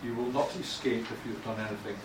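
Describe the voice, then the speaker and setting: A man's voice: medium pitch, steady tone, a little fast. A Scottish man giving a lecture on academic writing, blunt and informal, often restarting his sentences. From Scotland. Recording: echoey room from far off, noisy.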